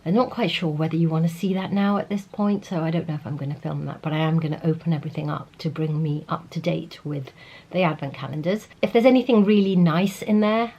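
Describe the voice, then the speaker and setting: Chatty congested woman, husky voice. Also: sits low, dull audio. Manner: chatty, rambling, enthusiastic about makeup. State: congested, recovering from a cold. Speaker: beauty vlogger. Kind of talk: casual vlog talk to camera.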